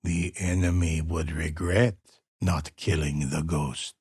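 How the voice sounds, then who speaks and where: An actor playing a grave old male storyteller in a video game, narrating warrior legends in a solemn, measured, husky voice. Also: a bass voice, steady tone, darkish tone, no echo, studio quality, heavily acted. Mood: ominous, dramatic. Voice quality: husky, raspy. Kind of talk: dramatic scripted storytelling narration.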